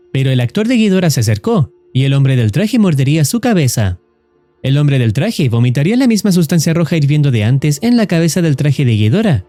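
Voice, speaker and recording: A man's voice: fairly high pitch, warm tone, no room sound. A man reading scripted narration as the narrator of a horror story recap video. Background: background music.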